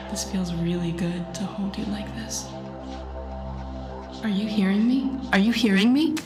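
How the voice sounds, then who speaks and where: Slow-speaking, intense villainess played by a woman. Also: mid-range voice, lightly acted. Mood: distracted inner thoughts, then flustered, curt. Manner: slow, deliberate, often dropping into breathy near-whispers. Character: female telepathic villain, guarded, intense, secretly tender mentor. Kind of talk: intimate audio roleplay acting addressed to the listener. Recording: roomy echo with music behind.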